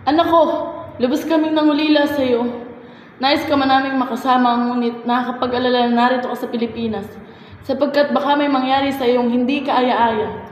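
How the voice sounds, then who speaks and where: A woman's voice: high-pitched, steady tone, fairly quick, natural voice. A woman playing an anxious, loving mother in an amateur radio drama, pleading in a formal manner. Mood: tender worry. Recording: very echoey hall, faint noise.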